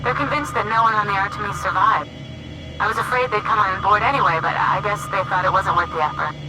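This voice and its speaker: An actress playing a brash, skeptical survivor in a video game cutscene, blunt and excitable. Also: female voice, medium pitch, fast, natural voice, low-quality recording. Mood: uneasy, matter-of-fact.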